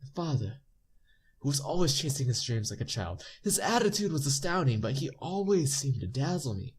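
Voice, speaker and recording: A man's voice: medium pitch, sing-song, moderate pace, breathy edge. A man with a stuffy nose reading visual novel narration aloud as a let's play narrator, adding casual commentary. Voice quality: stuffy-nosed. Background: game music.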